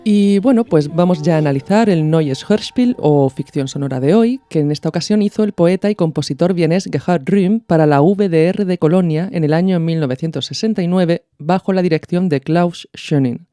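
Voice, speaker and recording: A woman's voice: on the low side, normal speed, few pauses, mellow, dry recording. A woman hosting a podcast, explaining in a conversational, didactic way. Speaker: podcast host. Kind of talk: explanatory podcast commentary on radio art.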